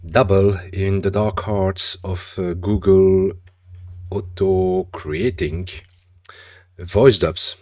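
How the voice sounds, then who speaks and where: A man's voice: low voice, steady tone, extremely slow, darkish tone, dry recording, studio quality. A man with a French accent talking through a horror game in a casual, rambling way, often correcting himself as he goes. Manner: rambling, chatty, frequent self-corrections. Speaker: gaming channel host. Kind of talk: casual gameplay commentary.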